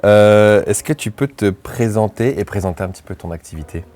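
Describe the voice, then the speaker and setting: A man hosting an interview, asking questions in a relaxed, informal way with short encouraging replies. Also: on the low side, fairly quick, faint music behind.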